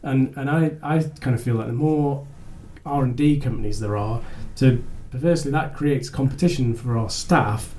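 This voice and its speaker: A male podcast host chats casually, his thoughts winding and halting as he starts sentences over. His voice is mellow and low in brightness. A faint ambient hiss sits under the recording. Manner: rambling, halting, many restarts and laughs. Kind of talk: casual interview chat. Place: northeast England.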